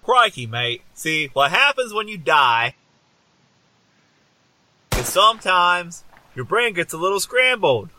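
A man's voice: sits high, sing-song, takes it slowly, pauses often, sharp tone, a little hiss or hum, heavily acted. A man voicing a rude, hungry ghost of an Australian adventurer in a comedy skit, with an exaggerated, muddled Australian accent and cheerful matey slang. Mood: explaining. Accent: put-on muddled Australian. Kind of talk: improvised comedy character skit.

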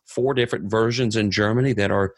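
A man's voice: fairly low pitch, fairly even intonation, a little fast, no room sound. A man co-hosting a missionary podcast, talking earnestly in a preaching manner with many fillers. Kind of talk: casual podcast conversation.

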